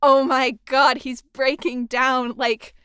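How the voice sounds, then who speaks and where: A woman interviewing a colleague in a casual, expressive and gushing chat. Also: high-pitched, takes it slowly, clear, resonant tone, dry recording, clean studio sound. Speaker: interviewer and audio drama colleague.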